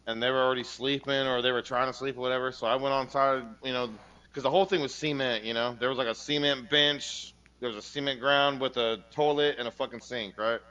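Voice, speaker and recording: A man in casual chat, telling a story loudly with lots of swearing. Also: medium pitch, fairly quick, muffled recording. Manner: loud, swearing, animated storytelling.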